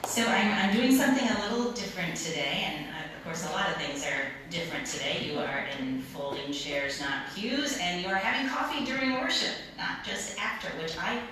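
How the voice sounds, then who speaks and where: A middle-aged woman pastor preaching in a plain-spoken, informal storytelling way, pausing and repeating words for emphasis. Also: fairly low pitch. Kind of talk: sermon, conversational storytelling. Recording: very echoey room, distant mic, noisy.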